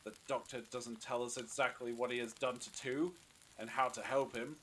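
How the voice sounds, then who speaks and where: A man's voice: mid-range voice, flat delivery, normal speed, a bit bright, a little hiss or hum, lightly acted, poor audio. A man playing a menacing, accusing leader in an audio drama, commanding and sneering as he interrogates. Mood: threatening. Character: menacing, accusing male leader bullying the Doctor.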